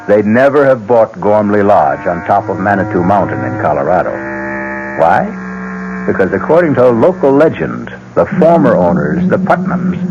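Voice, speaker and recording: A man hosting and narrating a 1970s radio drama, telling the story in a dramatic scripted style. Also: on the low side. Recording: dull, hissy old radio broadcast.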